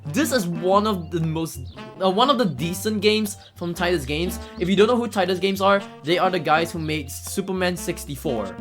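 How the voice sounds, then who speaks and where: A man's voice: sits high, normal speed, a bit bright. A man giving casual, hesitant live commentary as he speedruns a video game, often repeating words. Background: game music.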